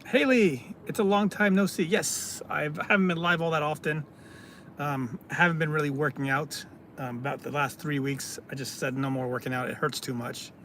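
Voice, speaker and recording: A man from California, hosting a casual live stream, reading out viewer comments and answering them in a halting way with many fillers. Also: fairly high pitch, fairly even intonation, a bit bright, a little hiss or hum. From California, United States.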